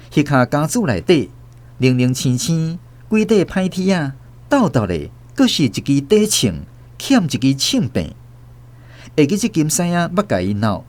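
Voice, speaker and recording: A man with a Taiwanese accent narrating a scripted radio drama story in an expressive storyteller's delivery. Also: medium pitch. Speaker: host and narrator.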